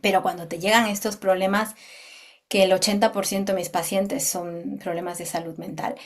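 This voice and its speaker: Expressive woman telling her story. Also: medium pitch, moderate pace. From Peru. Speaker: guest, doctor. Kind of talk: conversational personal account.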